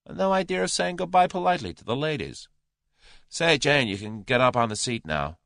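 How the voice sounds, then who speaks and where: A man's voice: medium pitch, very animated, fairly quick, creaky, a bit bright, no echo, clean studio sound, pitched above the performer's own voice. A man doing a brash young American adventurer. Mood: wry, urgent.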